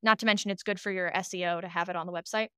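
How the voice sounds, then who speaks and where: A woman chatting casually as an interview guest, an animated storyteller who slips into acting out imagined dialogue. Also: on the low side, very fast, clean tone, no echo, studio quality. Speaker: podcast producer, interview guest.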